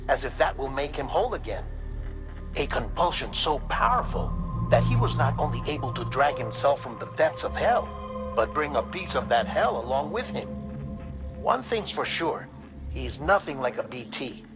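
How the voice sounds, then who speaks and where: An earnest, troubled game investigator, reporting his findings in a grave, deliberate voice. His pitch sits in the middle range for a man. Clearly audible music plays behind him. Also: big pitch swings. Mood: grave, thoughtful. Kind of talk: video game voice acting, briefing monologue.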